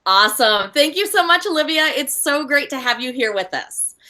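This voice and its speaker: Woman host, animated pitch, bright tone, upbeat, encouraging. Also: moderate pace. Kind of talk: live webinar hosting.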